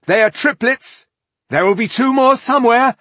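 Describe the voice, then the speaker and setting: Guy doing a fierce young warrior woman in a fantasy audiobook, high urgent yelling, dry sound. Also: normal speed, dry recording, heavily acted. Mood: urgent alarm. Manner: urgent, shouted warning.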